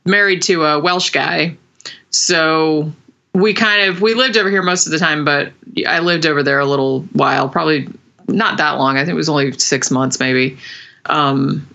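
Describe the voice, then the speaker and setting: A woman's voice: sits low, vocal fry, bright, forceful tone. A woman co-hosting a podcast in casual chat, rambling along with lots of fillers and little giggles. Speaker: podcast co-host.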